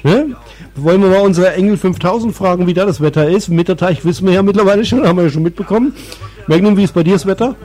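High-voiced man hosting radio. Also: quick pace, mellow. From Bavaria, Germany. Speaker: radio show host. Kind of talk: casual live radio chat.

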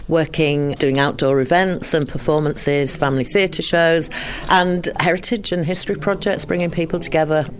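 A woman's voice: low-pitched, steady tone, normal speed. A woman from Leeds, speaking enthusiastically and fluently as a guest on a community radio interview, with occasional restarts. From Leeds, England. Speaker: arts charity organiser.

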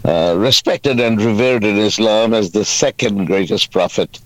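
A middle-aged man from Pakistan, a guest evangelist, telling his faith story in an interview, halting with many fillers and restarts. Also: lowish voice, no room sound, low-quality recording. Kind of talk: testimony interview.

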